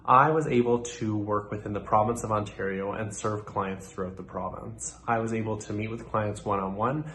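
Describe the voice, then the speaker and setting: A young man from Nova Scotia, a social work student giving a prepared presentation in an earnest, measured way with occasional fillers. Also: lowish voice, a bit bright. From Nova Scotia, Canada.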